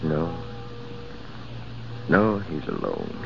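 An actor playing a somber man telling a sad tale in a hushed, mournful manner, in 1940s radio acting over music on an old recording. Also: low-pitched, huge pitch swings, drawn-out words, gravelly, highs cut off, full character voice.

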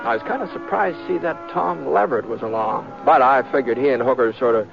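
Actor as gravelly, hesitant western drifter. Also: male voice, mid-range voice, faint music behind, lightly acted. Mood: calm narrating. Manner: hesitant, folksy drawl with mumbled pauses. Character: easygoing western drifter and reluctant gunman, laconic, modest. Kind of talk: 1950s radio western acting and first-person narration. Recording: muffled, noisy, crackly old radio recording.

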